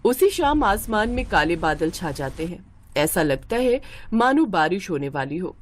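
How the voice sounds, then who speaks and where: A woman's voice: on the low side, very animated, unhurried, slight background noise. A woman reading scripted narration for a moral story as its narrator.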